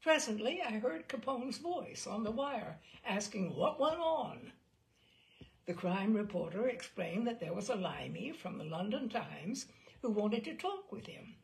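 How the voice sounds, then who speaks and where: A woman reading a memoir aloud in an expressive, dramatic storytelling style with wry asides. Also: mid-range voice, unhurried, gravelly. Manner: lively, dramatic phrasing with asides. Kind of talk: expressive audiobook-style narration.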